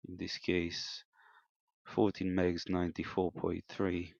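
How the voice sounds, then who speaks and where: A man giving an unscripted, step-by-step technical demonstration in a hesitant, halting way, in a slightly muffled room recording. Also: sits low, monotone, vocal fry, darkish tone, no room sound. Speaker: amateur radio operator demonstrating equipment.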